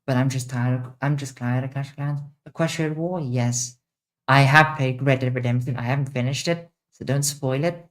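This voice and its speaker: A young woman with a mixed international accent, answering chat questions on a casual livestream, blunt and quick to swear. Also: extremely low pitch. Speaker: streamer.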